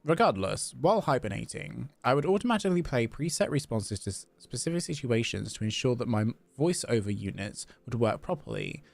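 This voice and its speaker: A man voicing a polite, formal, flattering clockwork robot while reading game dialogue aloud. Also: medium pitch, a little fast, gravelly, few pauses, no room sound. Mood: precise, deferential. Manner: stiff, formal, deferential.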